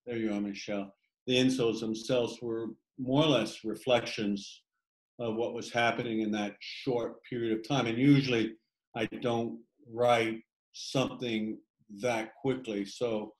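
A man who writes, speaking about his book on a video call in a halting, thoughtful way with many fillers. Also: mid-range voice, fairly even intonation, echoey room, poor audio. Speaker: poet reading his work. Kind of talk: reflective talk in an online reading. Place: home room on a video call.

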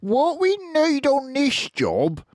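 An older man voicing a mean, deaf, bossy farmer who talks angrily and shouts. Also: very high-pitched, wildly swooping pitch, slow pace, dry recording, clean studio sound, voice pushed up, full character voice. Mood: angry. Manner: angry, shouting.

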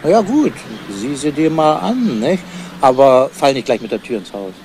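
A man playing a cautious, tactful police investigator in a 1970s radio crime drama, talking in a casual, measured way. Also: medium pitch, expressive, darkish tone, a little hiss or hum, close to an everyday voice. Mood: calm, tactful.

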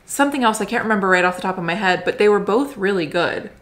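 A woman's voice: mid-range voice, animated, fast, fairly bright tone. A woman in her thirties from Florida, co-hosting a vlog from her living room couch and gushing about restaurant food in casual chat. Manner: enthusiastic, gushing food descriptions with frequent likes. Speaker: vlog co-host.

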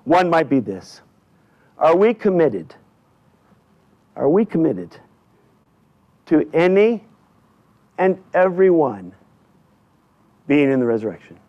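A male pastor delivering a church sermon in deliberate, emphatic short phrases with repetition. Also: on the high side, very animated. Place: indoors in a church at a lectern. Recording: dull, slightly lo-fi church recording.

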